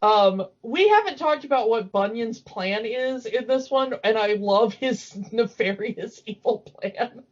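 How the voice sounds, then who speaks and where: High-voiced woman in lo-fi podcast chat. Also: animated, measured pace, hardly any pauses. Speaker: podcast co-host. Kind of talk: casual chat recapping a cartoon.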